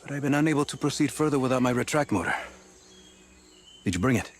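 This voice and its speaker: A man's voice: mid-range voice, lively intonation, quick pace, a little hiss or hum, close to an everyday voice. A man playing an earnest, erudite archaeologist in a video game, speaking precisely. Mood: earnest, measured. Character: earnest, scholarly male archaeologist, precious about relics. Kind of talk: video game dialogue acting.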